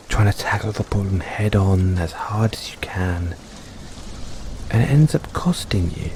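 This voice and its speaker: A man playing a caring, gently teasing boyfriend in a soft-spoken, playful comfort roleplay for an overworked partner. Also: sits low, a little hiss or hum. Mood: tender, gentle. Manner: soft-spoken, playful, coaxing, chuckles often.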